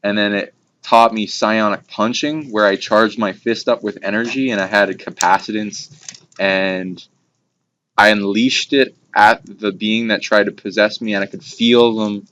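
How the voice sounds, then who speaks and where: Low, flat-toned man rambling on. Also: unhurried. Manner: rambling, long run-on monologue. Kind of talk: casual spiritual talk. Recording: dull lo-fi recording with background noise.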